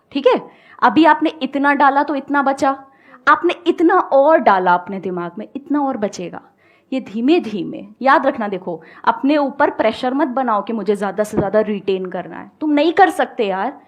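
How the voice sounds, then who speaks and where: Calm, coaxing young woman speaker on stage. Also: on the high side, normal speed. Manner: calm, coaxing, uses everyday examples. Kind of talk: motivational talk and audience Q&A. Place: stage before a live audience.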